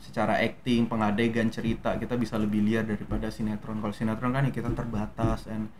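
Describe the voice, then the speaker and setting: A young man from Medan with a softened Medan Indonesian accent, a guest actor telling his story in a relaxed, hesitant way with many fillers. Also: fairly low pitch, fast, slight background noise. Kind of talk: casual interview talk.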